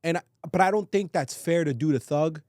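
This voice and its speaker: A man's voice: fairly high pitch, a little fast, darkish tone, no room sound. Casual, slangy man podcasting, dry sound. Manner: slangy, swearing, emphatic with repeated phrases. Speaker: podcast co-host. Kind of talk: casual opinionated podcast talk.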